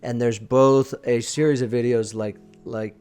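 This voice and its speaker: A man's voice: medium pitch, fairly even intonation, a little slow, a little mellow, smooth. A man giving a conversational, emphatic lesson as a presentation coach, shifting his volume for effect and often checking in with the listener. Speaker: presentation coach, video teacher. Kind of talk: conversational teaching talk to camera.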